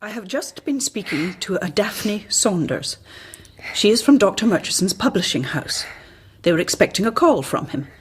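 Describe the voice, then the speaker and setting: An actress in a radio drama playing a cautious, earnest hospital colleague who speaks formally and precisely, with prim reproof. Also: female voice, mid-range voice, big pitch swings, normal speed, husky. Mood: grave, urgent.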